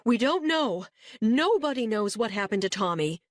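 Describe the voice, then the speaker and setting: A woman's voice: a little high, sing-song, moderate pace, no echo, clean studio sound, pitched above the performer's own voice. A woman voicing a grieving mother who speaks in an emphatic, insistent, tearful way. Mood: distraught. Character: distraught mother, older woman, sharp and grieving.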